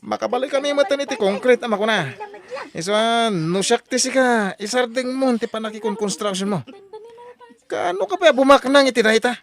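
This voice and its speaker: A woman's voice: a little high, moderate pace, lightly acted. A woman voicing a reckless, boastful middle-aged husband who gambles, in an audio drama. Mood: bragging. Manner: boastful, brushes off worries.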